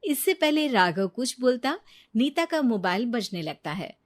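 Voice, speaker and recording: A woman's voice: on the high side, big pitch swings, a little slow. A woman narrating a scripted moral story.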